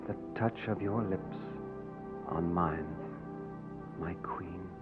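An actor playing an earnest, lovesick young knight in a 1940s radio drama. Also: male voice, sits low, slow pace. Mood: ardent, sorrowful. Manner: earnest, impassioned romantic delivery. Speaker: lead actor. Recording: tinny old radio broadcast with music. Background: orchestral score.

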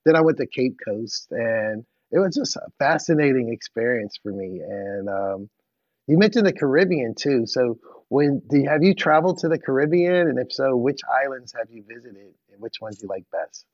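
A man's voice: mid-range voice, lively intonation, normal speed, darkish tone, no room sound. A man in his forties co-hosting a podcast interview, chatting and asking long rambling questions with restarts. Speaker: podcast co-host. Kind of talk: casual interview chat. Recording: dull, dry lo-fi sound.

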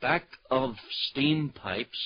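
An actor playing a mild, hapless small-town husband, hesitant and earnest, in old radio drama style. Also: male voice, mid-range voice, drawn-out words. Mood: sheepish, earnest. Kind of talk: 1940s-50s radio drama acting. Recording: dull old radio recording, crowd noise.

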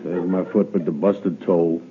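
An actor playing a slow, gullible, weary man in an old radio comedy, with a drawling, ungrammatical manner. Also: deep voice, steady tone. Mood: weary, puzzled. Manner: drawling, ungrammatical, muttering to himself. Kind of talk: 1950s radio comedy acting. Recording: narrow phone-like old radio recording, loud noise.

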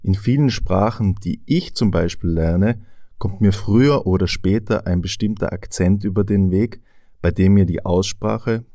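A man with an Austrian accent explains things to language learners in a calm, measured way. His voice is low and mellow. The recording is dry and close, with no room sound. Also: dry recording. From Tyrol, Austria. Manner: clear, measured explanation with rhetorical questions. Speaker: language teacher.

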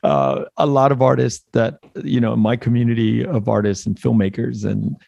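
A man's voice: mid-range voice, lively intonation, moderate pace, vocal fry, a little mellow, no echo, highs cut off. A man hosting an interview, asking long, rambling questions in a casual tone. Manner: rambling, loosely strung questions. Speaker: podcast host.

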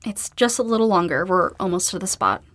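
A tough, subdued young survivor, close and dry. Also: female voice, on the low side, lively intonation, a little fast, a bit bright, dry recording. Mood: wistful. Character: tough young woman survivor, grieving, determined. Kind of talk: naturalistic audio drama acting.

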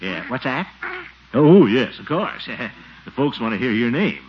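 A man's voice: mid-range voice, sing-song, unhurried. An actor playing a jolly, flustered middle-aged man who dotes on a baby, theatrical and chuckling, in a 1940s radio comedy. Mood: jovial, grateful. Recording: noisy, dull 1940s radio recording.

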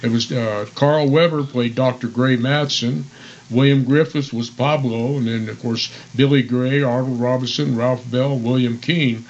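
A man's voice: medium pitch, steady tone, a little slow, lo-fi sound. An American man co-hosting a radio show with casual, chatty commentary.

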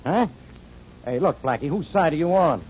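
A man's voice: fairly high pitch, expressive, normal speed, darkish tone, close to an everyday voice. An actor playing an indignant, blustering male police inspector in an old radio drama. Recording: dull old radio broadcast with hiss.

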